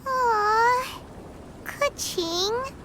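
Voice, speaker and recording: A woman voicing a tiny, cheerful, childlike fairy companion in a video game, squeaky and gushing. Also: extremely slow, heavy background noise, heavily acted. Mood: gushing admiration.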